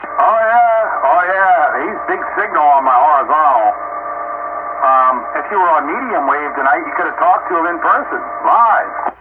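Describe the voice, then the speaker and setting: A man's voice: fairly high pitch, animated, normal speed. A man chatting casually over amateur radio in a bantering, folksy way. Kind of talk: casual radio chat among hobbyists. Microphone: radio transceiver microphone. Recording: noisy narrowband radio transmission.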